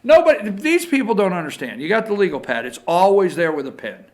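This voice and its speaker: A man's voice: high voice, very animated, a little fast. A man hosting a political talk show, giving off-the-cuff opinionated commentary in an exasperated, emphatic way, often repeating his phrases.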